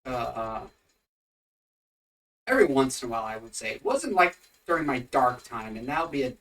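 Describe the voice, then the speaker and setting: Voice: medium pitch, big pitch swings, normal speed, a bit bright, distant mic. A co-host of a casual home faith podcast, rambling and teasing, tired and yawning. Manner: rambling, teasing, easily sidetracked. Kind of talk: casual religious chat podcast. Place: home room with pets.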